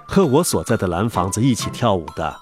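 A man reads a children's fairy tale aloud, his pitch swooping wildly up and down. Clear music plays behind him throughout. His delivery is expressive and playful, made for young listeners. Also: brisk. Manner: expressive, wide-ranging storytelling delivery. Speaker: storybook narrator.

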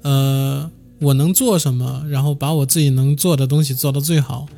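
A man's voice: medium pitch, fairly even intonation, moderate pace, creaky, warm tone, soft background music. A man speaking casually as a musician being interviewed, with a modest, easygoing manner.

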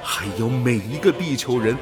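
A man's voice: mid-range voice, expressive, moderate pace, over music, close to an everyday voice. A man playing a dutiful, awestruck space explorer in a radio drama, with a reflective, emotive delivery. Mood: awed. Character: dutiful male human explorer, transformed, torn and awestruck. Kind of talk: dramatic radio play acting.

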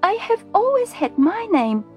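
Little princess girl, a woman putting her on, high wide-eyed wondering voice, soft music. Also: very animated, a little slow, full character voice.

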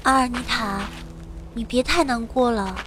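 Young heroine narrator voiced by a woman, high voice, vivid fantasy adventure storytelling, noisy effects behind. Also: normal speed, lightly acted. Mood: gentle. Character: young heroine recounting her party's adventure. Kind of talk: dramatic first-person narration in audio drama.

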